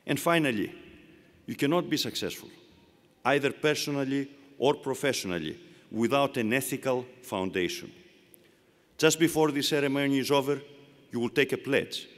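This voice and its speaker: A man with a Greek accent giving a formal commencement speech, delivering measured phrases one at a time from a podium. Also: medium pitch, lively intonation. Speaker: university leader giving commencement address. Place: large hall. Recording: long reverb of a large hall.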